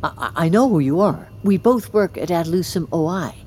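An actress playing a polite, quietly knowing secretary in an audio drama, courteous and careful in delivery. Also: female voice, on the low side, animated, brisk, slight background noise, close to an everyday voice. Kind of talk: naturalistic audio drama acting.

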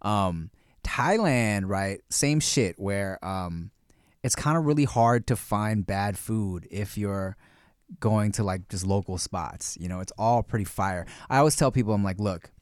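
A man's voice: on the low side, lively intonation, a little slow, clear, resonant tone, dry recording. A laid-back man co-hosting a podcast in casual chat, often saying "bro" and "like," on a close studio mic. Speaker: podcast co-host.

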